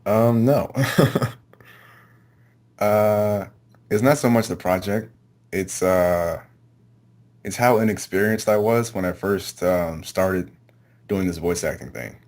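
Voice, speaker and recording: A man answering interview questions casually, in a low-key, slightly hesitant way. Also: slow pace, long pauses, darkish tone. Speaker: amateur voice actor, interview guest.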